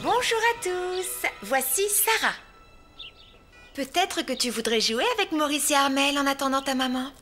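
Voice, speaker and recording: High female voice, friendly nurse, reassuring, cheerful, children's animation. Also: animated, unhurried, over music. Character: helpful, reassuring hospital nurse lady, cheerful. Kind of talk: French dubbed children's cartoon acting.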